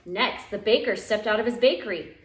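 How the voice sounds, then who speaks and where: A woman reading a children's picture book aloud at home in an expressive, dramatic way, drawing out key phrases. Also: mid-range voice, fairly quick, a little hiss or hum. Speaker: teacher reading aloud to young pupils. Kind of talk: animated children's storybook read-aloud. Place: living room at home. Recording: roomy, echoey home recording.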